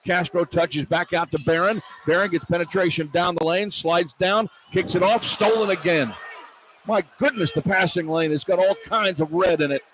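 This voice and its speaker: Over arena noise, a man with a fairly high voice calls basketball live for radio. He moves through the action in short clipped phrases, drawing out words on the big moments. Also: moderate pace. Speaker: radio play-by-play announcer. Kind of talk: live basketball play-by-play commentary. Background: arena ambience.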